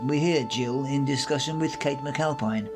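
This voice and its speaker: Man introducing over loud music. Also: mid-range voice, moderate pace. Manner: even, read-aloud delivery. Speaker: podcast introducer. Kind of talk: scripted narration. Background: loud instrumental music.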